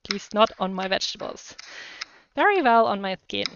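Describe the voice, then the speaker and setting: A woman's voice: mid-range voice, big pitch swings, a little fast, fairly bright tone, slight background noise. A woman with a German accent chatting casually and enthusiastically to live viewers as she cooks, in a slightly muffled recording. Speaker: cooking livestream host. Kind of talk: casual chat to live viewers while cooking.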